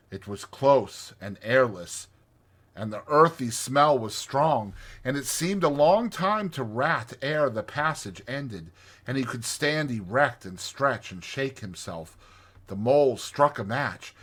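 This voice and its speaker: A man reads a classic tale as an audiobook narrator. His mid-range voice has a bright, forceful tone suited to scripted storytelling. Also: medium pitch, husky.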